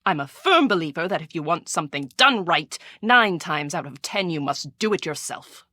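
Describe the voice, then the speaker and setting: A woman's voice: low-pitched, lively intonation, normal speed, bright, forceful tone, dry recording, exaggerated voice. An actress in a video game playing a pampered, imperious rich woman who dotes on her little dog, speaking theatrically and giving orders. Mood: haughty, commanding. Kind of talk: scripted video game voice acting.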